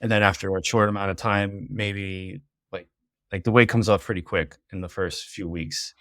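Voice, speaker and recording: A man's voice: low-pitched, steady tone, normal speed, fairly bright tone, no room sound, studio quality. A man who works as a teacher, chatting as a podcast guest, hesitant and often repeating words and saying "you know". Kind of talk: casual podcast conversation.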